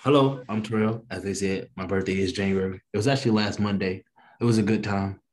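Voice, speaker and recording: Laid-back man in his thirties, low voice. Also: fairly even intonation, brisk, darkish tone. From East Saint Louis, Illinois. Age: 30s. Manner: laid-back, easygoing storytelling with slang. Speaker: podcast guest. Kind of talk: casual podcast chat.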